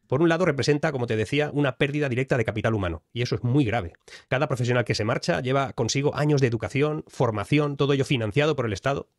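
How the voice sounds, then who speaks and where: Fast-talking man explaining. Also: medium pitch, no room sound, clean studio sound. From Spain. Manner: fluent, analytical, emphatic. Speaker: commentator. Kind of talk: explanatory commentary.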